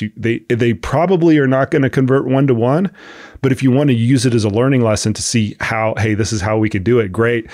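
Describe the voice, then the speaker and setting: A lower-pitched man with a slight cold, talking through ideas in a casual podcast chat. He explains at length and restarts his sentences often. The sound is dry and close, with no room echo. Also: a little mellow. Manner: explanatory, thinks aloud with restarts. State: has a cold, sniffling. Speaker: podcast host, coach. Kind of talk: casual expert discussion.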